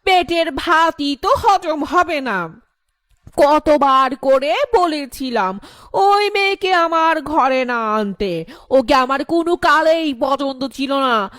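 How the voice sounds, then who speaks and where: Woman acting spiteful housewife, high slow voice. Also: lively intonation, fairly bright tone, no room sound, clean studio sound, full character voice. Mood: bitter, spiteful. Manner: scornful, sarcastic, complaining. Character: spiteful older housewife, bitter mother-in-law. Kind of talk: animated horror story drama dialogue.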